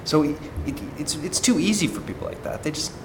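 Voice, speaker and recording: A man's voice: a little high, very animated, quick pace, background noise. A man hosting an academic podcast, asking questions with chatty, joking asides. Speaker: podcast host. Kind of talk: academic interview.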